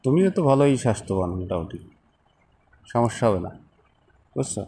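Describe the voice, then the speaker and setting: A man's voice: fairly low pitch, lively intonation, a little fast, mellow, a little hiss or hum, lightly acted. A man acting as a persistent office boss in a phone-call drama, coaxing and reassuring in a conversational way. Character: persistent male office boss coaxing a reluctant female employee.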